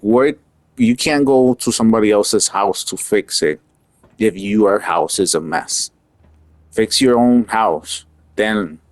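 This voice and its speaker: A man's voice: lowish voice, no echo. A man from Puerto Rico giving an earnest, opinionated monologue in a casual, rambling way. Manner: earnest, opinionated, talks in loose rambling asides. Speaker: vlogger.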